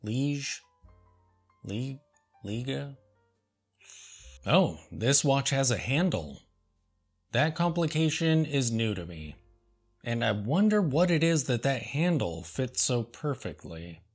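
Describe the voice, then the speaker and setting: Slow, dry-humored man over soft music. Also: medium pitch, big pitch swings, slightly rough. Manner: dry, sarcastic, slow and deliberate. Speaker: host. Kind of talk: deadpan comedic commentary reading reviews.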